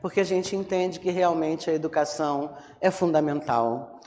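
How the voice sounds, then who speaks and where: A woman's voice: low voice, moderate pace, a little mellow. A woman with a Rio de Janeiro Brazilian accent gives an informal religious talk, conversational and full of check-in questions to her listeners. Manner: conversational, acts out inner complaints, frequent check-in tag questions. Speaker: Spiritist lecturer. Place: reverberant hall, public talk. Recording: long hall reverberation.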